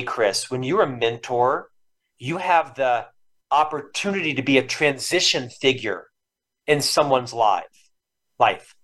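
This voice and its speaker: A man's voice: medium pitch, a bit bright. A man in his fifties from Florida, a lifelong stutterer, telling personal stories in an earnest and emotional way as a podcast guest. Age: 50s. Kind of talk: reflective storytelling interview.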